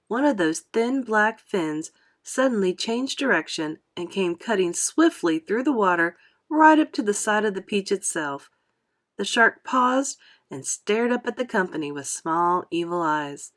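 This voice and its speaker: A woman reading a children's novel aloud as an expressive classroom storyteller. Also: medium pitch, unhurried. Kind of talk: expressive children's audiobook narration.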